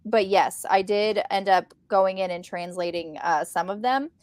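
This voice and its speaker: A woman's voice: medium pitch, normal speed, darkish tone, no echo. Dry-recorded American woman in casual discussion. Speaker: book readalong co-host. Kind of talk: casual book chat.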